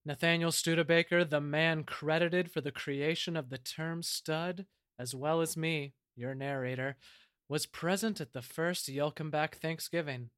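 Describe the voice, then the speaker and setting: A man's voice: a little high, steady tone, a little slow, fairly bright tone, smooth, no echo. A man acts out an earnest male historian in an audio play. He declaims formally and with self-importance, in a raised voice with a very dry, close sound. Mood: earnest, grandiose.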